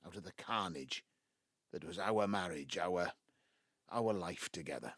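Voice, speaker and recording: A man's voice: deep voice, expressive, measured pace, fairly bright tone, no room sound, studio quality, full character voice. An actor playing a proud, sentimental estranged father in his seventies with a Welsh accent, in a naturalistic audio drama. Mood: wistful, tender. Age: 70s.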